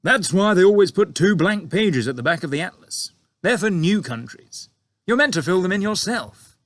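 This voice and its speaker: A fearless young pilot, breezy and grinning, speaking a touch higher than plain narration, as a man puts him on. Storybook officer who enjoys the adventure. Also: expressive, moderate pace, a bit bright, no echo. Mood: cheerful.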